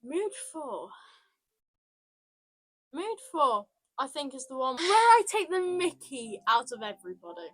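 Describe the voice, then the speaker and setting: A woman's voice: high-pitched, big pitch swings, a little slow, pauses often, fairly bright tone. A teenage girl co-hosting a casual podcast, playful and goofy in how she talks. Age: teens. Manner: playful, goofy, bursts into silly voices. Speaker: podcast co-host. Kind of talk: casual chat.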